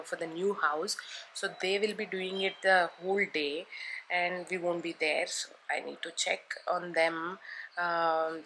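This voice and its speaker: A woman's voice: fairly low pitch, sharp tone, a little hiss or hum, low-quality recording. Chatty woman vlogging at home. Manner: chatty, rambling with many fillers and restarts. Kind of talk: casual vlog update to camera. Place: living room at home, indoors. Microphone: phone or camera at arm's length.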